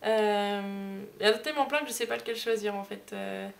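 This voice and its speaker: A woman's voice: medium pitch, brisk, vocal fry, soft background music. A woman in her early twenties chatting casually to camera in a rambling, giggly way, recorded at home on an amateur camera. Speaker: vlogger, elder sister. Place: room at home. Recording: noisy amateur digital camera sound.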